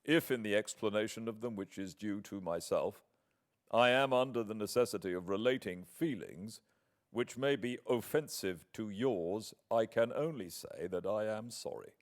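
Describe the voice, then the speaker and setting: A British man reading in the part of a proud, wounded young gentleman in a classic novel, formal, measured and emphatic. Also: on the low side, lively intonation. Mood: measured, defensive. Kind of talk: dramatic audiobook reading.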